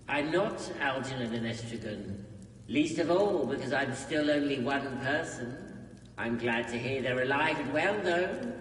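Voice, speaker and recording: A man's voice: a little high, fairly bright tone. A man voicing a mysterious spirit in a game, wry and theatrical with grand politeness, in a distant echoing recording. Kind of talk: scripted game dialogue. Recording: echoing hall reverb, distant, faint noise.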